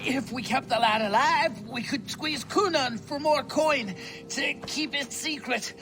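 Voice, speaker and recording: Craven male mercenary for a fantasy game; high, wheedling, rough-grammared, always pleading. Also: unhurried, music in the background. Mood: fearful. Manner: pleading, colloquial, ungrammatical. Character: cowardly, wheedling lowlife male sellsword. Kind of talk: video game cutscene acting.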